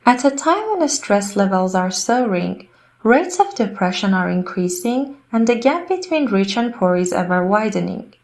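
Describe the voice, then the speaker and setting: Woman with an average-pitch voice reading a script out loud. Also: very animated, moderate pace. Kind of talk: read-aloud scripted narration.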